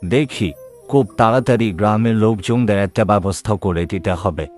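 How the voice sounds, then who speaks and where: Harried village chief for a cartoon, played by a man; fairly deep, weary, responsible. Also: moderate pace, soft background music, natural voice. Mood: harried, reassuring. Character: harried, dutiful village headman, a husband. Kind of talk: cartoon voice acting.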